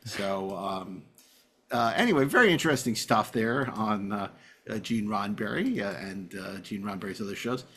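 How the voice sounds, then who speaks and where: A man hosting a casual chat show, speaking haltingly and repeating his phrases. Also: on the low side, lively intonation, fairly bright tone, breathy edge. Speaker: podcast host.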